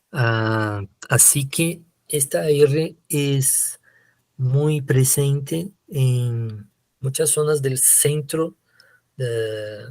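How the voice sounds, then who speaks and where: A man from Brazil with a Brazilian Portuguese accent, giving an online lecture in a slow, hesitant way, full of fillers and tag questions. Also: medium pitch, darkish tone, no echo. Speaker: literature lecturer. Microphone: computer mic on a video call.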